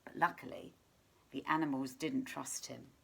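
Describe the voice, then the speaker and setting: A woman reading a children's story aloud in an expressive, deliberate storytelling manner, sometimes hushed. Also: rumbling low, gravelly, a little hiss or hum. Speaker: teacher reading a picture book to children.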